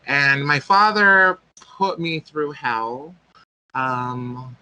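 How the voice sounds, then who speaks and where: A man's voice: medium pitch, very slow, sharp tone, dry recording, poor audio. A man, telling a personal story as a guest speaker and often searching for words. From American Samoa.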